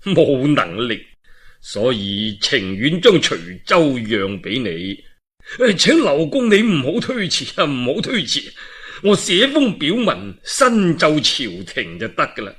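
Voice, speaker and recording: A man acts out an elderly governor in a historical storytelling piece. He is weary and humbly insistent, declaiming slowly and earnestly. The voice is husky and moves widely in pitch. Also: wildly swooping pitch, fairly bright tone, heavily acted. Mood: pleading.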